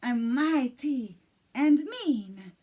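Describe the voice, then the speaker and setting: A woman voicing a small mouse who squeaks and boasts that he is big and bad, in a children's storybook reading. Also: expressive, extremely slow, warm tone, slight background noise. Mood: boastful squeaking.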